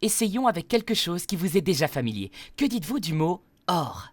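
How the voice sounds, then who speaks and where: Man voicing an earnest, animated young woman teacher. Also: high-pitched, moderate pace, a little hiss or hum. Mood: patient, flustered. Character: earnest, encouraging young female teacher of goblins. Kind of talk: animated comedy fandub.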